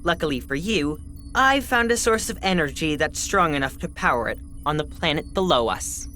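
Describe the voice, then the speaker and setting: A brisk science advisor in a sci-fi game. The voice is lively and a little low, with faint music behind. The manner is eager, explanatory and matter-of-fact. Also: female voice. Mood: eager, explaining. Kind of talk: video game cutscene acting.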